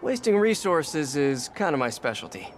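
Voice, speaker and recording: Young wisecracking superhero, highish voice, super animated, wry game banter, noisy city behind. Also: male voice, moderate pace. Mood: playful. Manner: wry, playful. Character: young wisecracking male superhero, playful and eager. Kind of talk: video game voice acting, quippy banter.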